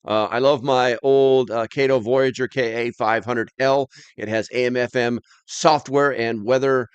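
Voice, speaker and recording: A man's voice: medium pitch, fairly even intonation, measured pace, clean tone, no room sound, dull audio. A man hosting a live stream, chatting casually as he reads and answers comments in a rambling, plain-spoken, slightly grumbly way.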